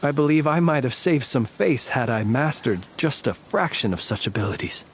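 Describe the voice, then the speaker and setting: A man's voice: medium pitch, lively intonation, normal speed, slight background noise, close to an everyday voice. Man acting a courtly, world-weary warrior, formal speech. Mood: humble, courteous. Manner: formal, archaic, eloquent phrasing. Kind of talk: video game voice acting, scripted dialogue.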